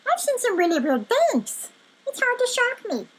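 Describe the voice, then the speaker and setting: A woman voicing an elderly male stuffed rabbit, a chatty, excitable storybook adventurer who tells his tale with exclamations. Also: very high-pitched, normal speed, pitched above the performer's own voice, full character voice, poor audio. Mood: excited, bewildered.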